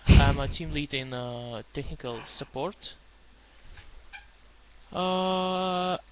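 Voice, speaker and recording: A man's voice: fairly high pitch, takes it slowly, heavy background noise. Hesitant Russian man, lo-fi call. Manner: brief, hesitant. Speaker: student.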